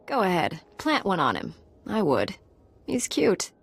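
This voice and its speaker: An actress playing a composed, frank young Jedi apprentice in a video game. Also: female voice, fairly low pitch, huge pitch swings, unhurried, creaky, breathy edge, exaggerated voice. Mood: teasing. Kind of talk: scripted video game acting.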